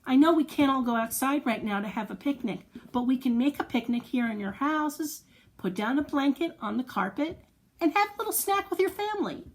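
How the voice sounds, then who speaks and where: A woman's voice: high-pitched, animated, fairly quick. A woman reading a picture book aloud to young children, expressively, with playful asides, questions and little sound effects. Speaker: children's storyteller.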